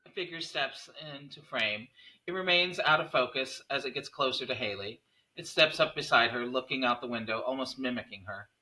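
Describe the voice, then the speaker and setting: Bright-voiced man reading stage directions. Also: medium pitch, normal speed, rough recording. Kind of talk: scripted screenplay narration.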